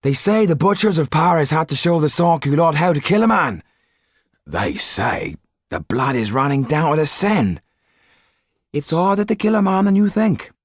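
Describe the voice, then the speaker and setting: A man voicing a rough townsman gossiping with grim relish in an audiobook. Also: on the high side, expressive, moderate pace, no echo, clean studio sound, voice pushed up.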